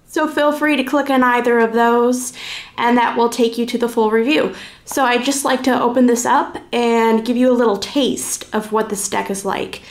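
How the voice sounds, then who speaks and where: Woman, fairly high voice, informal vlog chat, reviewer thinking aloud, unscripted. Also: steady tone, moderate pace. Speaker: reviewer presenting a card deck. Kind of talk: casual first-impressions vlog chat.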